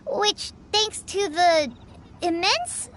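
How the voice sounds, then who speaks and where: A woman voicing a tiny, chirpy, opinionated fairy girl with a squeaky childlike voice, in scripted video game dialogue. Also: expressive, drawn-out words, a bit bright, a little hiss or hum, heavily acted. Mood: lecturing, faltering. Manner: speaks of herself in third person. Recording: game music clearly behind the voice. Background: background game music.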